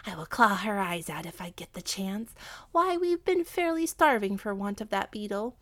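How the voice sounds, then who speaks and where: A woman's voice: mid-range voice, very animated, normal speed, a bit bright. A woman voicing a sly, haughty female cat in a children's story, with a purring, scornful, commanding manner. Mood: indignant. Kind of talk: children's animal storybook character.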